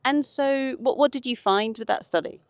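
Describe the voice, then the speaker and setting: A woman's voice: high voice, moderate pace, no room sound. A woman hosting a podcast, asking questions in a casual, chatty way. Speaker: podcast host, interviewer. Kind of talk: casual interview chat.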